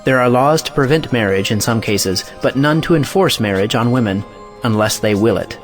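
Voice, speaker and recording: An impassioned romantic hero , acted by a man, declaims with resolve in a stage drama. His voice is medium in pitch, with faint music behind. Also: lively intonation, moderate pace, natural voice. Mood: resolute. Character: impassioned, righteous male lover defying convention. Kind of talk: audio play acting of an old stage drama.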